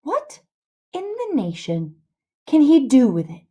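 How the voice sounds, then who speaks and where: A practical storybook boy, played by a woman, questioning things in plain rustic dialect. His voice sits fairly high and jumps around in pitch, the puzzled sidekick who wants simple sense. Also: sing-song, normal speed, highs cut off. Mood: incredulous.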